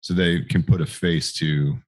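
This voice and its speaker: A man's voice: extremely low pitch, fairly even intonation, a little slow, dry recording. A man chatting casually as the co-host of a podcast. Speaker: podcast co-host, Marine veteran. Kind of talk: casual chat.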